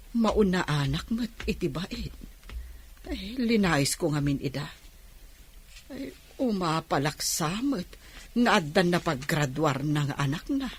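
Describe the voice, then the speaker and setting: A woman playing an envious, proud aunt in a radio drama, with a raspy voice and an Ilocano accent. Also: low-pitched, slight background noise, exaggerated voice. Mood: bitter musing.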